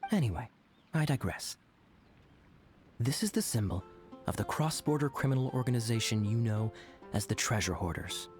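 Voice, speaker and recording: Man playing a suave, sly young knight, animated. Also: medium pitch, natural voice. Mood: confiding. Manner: wry, unhurried, theatrically polite. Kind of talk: video game character dialogue. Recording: studio voice over game music.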